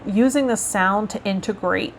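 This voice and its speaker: A woman vlogging casually and reflectively to her viewers, rambling a little and pausing mid-thought, with some guided meditation cues. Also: medium pitch, a little hiss or hum. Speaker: host of a tarot vlog and healer.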